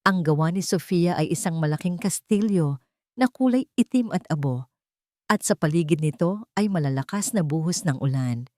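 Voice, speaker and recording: Filipino woman narrating, lowish voice, very dry sound. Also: expressive, normal speed, darkish tone, no echo. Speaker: storyteller, narrator. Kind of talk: scripted dramatic story narration.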